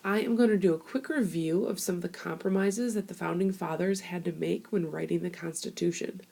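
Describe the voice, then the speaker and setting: Hoarse woman teacher with a gravelly voice. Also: fairly low pitch, fairly even intonation, fairly quick, dark tone. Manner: casual, chatty explaining with fillers. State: hoarse from a cold. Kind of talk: classroom screencast lesson. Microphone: tablet microphone.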